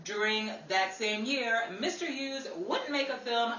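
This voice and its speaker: A woman's voice: a little high, unhurried, bright, forceful tone. A woman hosting and narrating a 1930s-style radio show with a theatrical, playful delivery, recorded roomy and distant. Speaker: host and narrator of a radio play. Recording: roomy, distant mic, noisy crowd.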